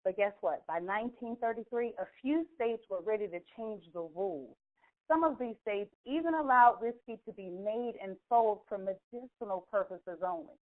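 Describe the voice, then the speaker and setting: Female call host, persuasive and emphatic storyteller, mid-pitched, on a narrow telephone connection. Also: normal speed. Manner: emphatic, rhetorical questions to listeners. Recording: thin narrow phone conference line.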